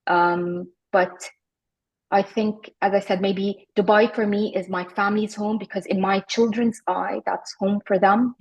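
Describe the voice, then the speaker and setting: A woman in her forties, from Iraq, talking reflectively as an interview guest, often pausing with fillers and restarting her sentences. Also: mid-range voice, steady tone, husky, muffled recording. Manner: thoughtful, many fillers and restarts.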